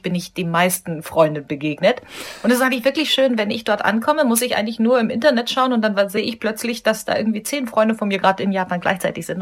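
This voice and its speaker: A woman in her thirties from Munich answers interview questions as a pianist. She pauses and searches for words, with frequent fillers. Her pitch sits at an ordinary middle level. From Germany, Munich. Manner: thoughtful, hesitant with many fillers.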